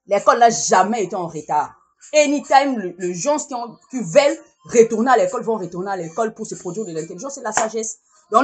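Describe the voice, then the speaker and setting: A woman's voice: fairly high pitch, breathy edge. A woman, delivering a heated, scolding social media rant and repeating herself to drive points home. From Congo.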